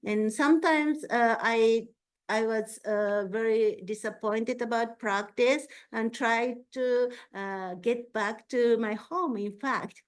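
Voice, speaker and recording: A woman Zen teacher answering a student, speaking haltingly with pauses and frequent agreeing murmurs. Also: a little high, clear, resonant tone. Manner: halting, self-conscious about her English, frequent laughs; slow and warm, many soft agreeing murmurs. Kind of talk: Q&A after a dharma talk.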